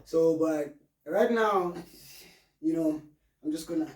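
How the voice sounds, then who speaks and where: A man in his late twenties with a South African accent, a brand founder telling his story in a relaxed podcast interview, slipping in local words. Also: on the high side, slow pace. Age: 20s. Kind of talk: casual interview conversation. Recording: roomy, from a distance.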